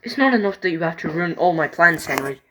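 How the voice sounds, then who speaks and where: A woman voicing an angry male steam engine, grumbling in a low voice. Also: animated, fast talker, close to an everyday voice. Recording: background noise.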